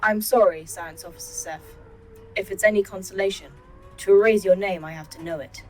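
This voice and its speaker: A woman playing a formal, polite artificial intelligence in an audio drama, measured and precise. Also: medium pitch, soft background music, lightly acted, rough recording. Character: calm, formal, superior artificial intelligence computer. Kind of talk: audio fiction podcast acting.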